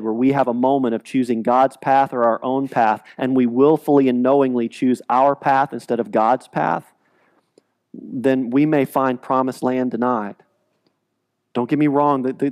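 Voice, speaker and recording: Animated man in his forties preaching. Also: mid-range voice. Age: 40s. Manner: animated, gesturing widely while preaching with frequent restarts. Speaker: pastor. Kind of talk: sermon. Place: large church sanctuary, speaking from the stage.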